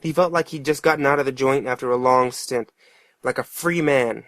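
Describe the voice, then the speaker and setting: A man's voice: medium pitch, moderate pace, a bit bright, natural voice. A man playing a plain-spoken working-class father who narrates a dramatic tale in an earnest, colloquial way. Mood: reflective.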